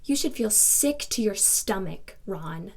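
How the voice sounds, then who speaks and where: A woman's voice: mid-range voice, animated, takes it slowly, a little mellow. An actress playing an indignant young woman in a contemporary dramatic monologue, speaking in an emphatic, confrontational and articulate way. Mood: indignant, accusing. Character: defiant, indignant twenty-two-year-old woman confronting a reporter.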